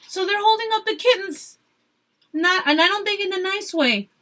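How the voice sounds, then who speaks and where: A woman teacher reading a story aloud expressively, pausing to put questions and asides to her young listeners. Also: very high voice, pushed and bright.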